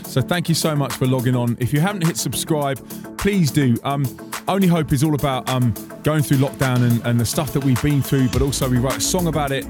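A man's voice: medium pitch, a little fast, music in the background. Chatty joking male podcast host. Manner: chatty, joking, lots of fillers. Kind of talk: casual podcast chat.

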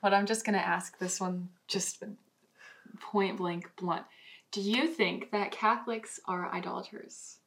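A woman's voice: medium pitch, steady tone, measured pace, fairly bright tone. A young adult woman talking casually and earnestly in a podcast discussion, with an informal way of phrasing things. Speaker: podcast participant posing questions.

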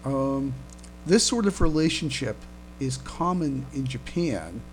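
A man hosting a casual podcast discussion, speaking haltingly and repeating words, over a noisy internet call. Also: medium pitch, lively intonation. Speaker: podcast host.

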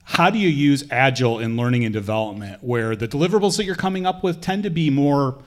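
A man's voice: mid-range voice, expressive, fast. A man with a mid-range voice hosts a live podcast in front of a conference audience. He is chatty and curious, asking his guests and the crowd questions. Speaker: podcast host.

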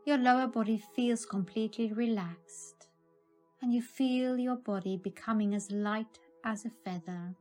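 Calm woman reading a guided meditation, really slow n measured, soft music behind her. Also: on the high side. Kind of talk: scripted guided meditation narration.

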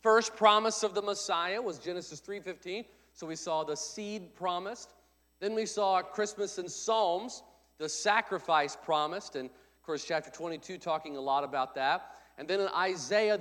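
A male pastor with a somewhat high voice for a man, still congested from a cold, preaches in a friendly, conversational way. His words ring out with a long reverb through the church sanctuary. He speaks into a pulpit microphone. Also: a little slow, a bit bright. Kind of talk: sermon with announcements.

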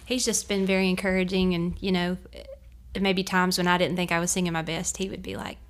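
A woman in her thirties from North Carolina with a Southern accent, a singer speaking earnestly and gently in a hotel-room interview. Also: fairly low pitch, little pitch movement, a little fast. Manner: earnest, gentle, searching for words. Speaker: bluegrass singer guest. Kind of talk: casual interview.

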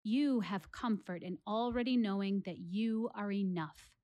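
An actress playing an earnest, reflective female warrior, speaking to a theatre audience in a rhetorical one-woman monologue with dramatic pauses. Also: medium pitch, warm tone, lightly acted. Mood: resolute. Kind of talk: one-woman stage monologue audio play.

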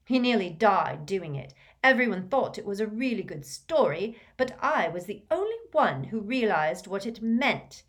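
A woman voicing a tense, defiant teenage boy wizard who speaks forcefully and vehemently. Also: a little high, wildly swooping pitch, measured pace, pitched above the performer's own voice, heavily acted. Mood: boastful.

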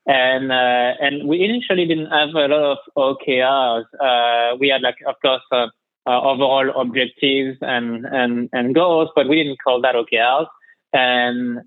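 A man's voice: mid-range voice, dry recording. A man in his thirties from France with a French accent, a podcast guest talking hesitantly with many fillers. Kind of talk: remote podcast interview. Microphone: call line. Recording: dry tinny call line.

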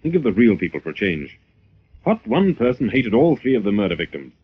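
An actor playing a composed, shrewd gentleman detective, reasoning briskly and with assurance in old radio drama style. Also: lowish voice, very animated, slightly rough. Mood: composed, probing. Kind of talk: 1940s radio drama acting. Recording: muffled, noisy vintage radio recording.

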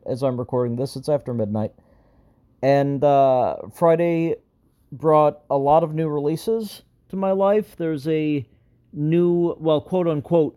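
Rambling man with a strained, raspy voice. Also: mid-range voice, mellow. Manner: rambling, self-deprecating, many fillers. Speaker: podcast host. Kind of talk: casual solo podcast update.

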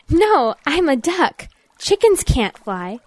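Woman as a high-voiced, sensible girl duck. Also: sing-song, very slow, no room sound, heavily acted. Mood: friendly, teasing. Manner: patient, gently explaining. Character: friendly, sensible young female duck. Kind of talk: audio drama acting.

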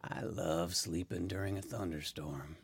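An actor playing a weary, wry dying man in hospice care in an audio play, speaking in a halting, wistful way. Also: deep voice, steady tone, vocal fry, breathy edge, exaggerated voice. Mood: calm, wistful.

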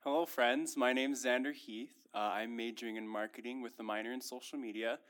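Young college guy, talks fast, friendly casual chat. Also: medium pitch, steady tone, fairly bright tone. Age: young adult. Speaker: student guest.

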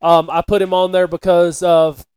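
A man co-hosting a podcast in casual banter, talking in an animated, halting way and often repeating himself. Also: sits high, clean tone, no room sound, clean studio sound. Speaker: podcast co-host.

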